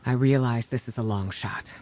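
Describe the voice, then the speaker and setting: An actor playing an earnest, resolute ally giving a serious, measured mission briefing in a video game. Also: male voice, medium pitch, a little mellow, natural voice. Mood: resolute, grave.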